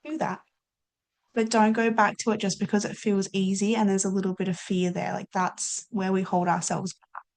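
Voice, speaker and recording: A woman's voice: mid-range voice, flat delivery, moderate pace, creaky, a little mellow. A woman coach hosting a podcast, speaking encouragingly and talking straight to viewers. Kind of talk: warm reflective casual chat.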